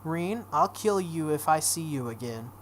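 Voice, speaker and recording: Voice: mid-range voice, expressive, unhurried, slight background noise, natural voice. A let's play host voicing a smug, cruel young girl who taunts and commands in a visual novel. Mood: bored, threatening. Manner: taunting, imperious.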